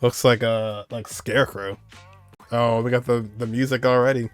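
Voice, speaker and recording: A man's voice: mid-range voice, fairly even intonation, moderate pace, clean tone, faint music behind. A man giving casual live commentary on game cutscenes, in slangy short exclamations. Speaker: game streamer reacting to cutscenes.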